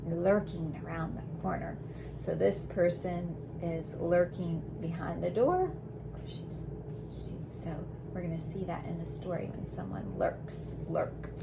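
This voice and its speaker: A woman's voice: on the low side, creaky, noisy background. A woman teacher giving a read-aloud phonics lesson to young children, explaining in simple words with examples.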